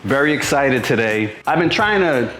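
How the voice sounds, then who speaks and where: A man's voice: medium pitch, big pitch swings, moderate pace, a bit bright, slight background noise. A middle-aged American man from Boston hosting a home cooking show, talking informally and thinking aloud. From Boston, USA. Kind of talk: casual cooking demonstration. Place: indoors in a home kitchen. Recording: roomy echo with music behind. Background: music.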